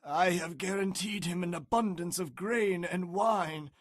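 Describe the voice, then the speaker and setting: A frail old father with a thin, quavering voice pitched well above the reader's own, as a man puts him on, drawing each word out slowly. He sounds solemn and worn, in a dry, close storybook reading. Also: no room sound. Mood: shaken.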